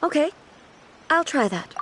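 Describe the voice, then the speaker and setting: A slow, solemn immortal female ruler. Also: high voice, sing-song, background noise. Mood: puzzled, self-conscious. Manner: measured, formal, hesitant and earnest. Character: dignified immortal female ruler, solemn, formal, naive about modern life. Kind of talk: English video game voice acting. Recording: music behind the voice.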